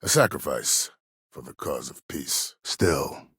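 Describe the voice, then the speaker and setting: An actor playing a cold, proud, older former war admiral in a video game, speaking formally and with measured authority in a gravelly, husky voice. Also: male voice, very deep voice, expressive, fairly bright tone, dry recording, studio quality, exaggerated voice. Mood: measured, calculating. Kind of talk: dramatic video game dialogue.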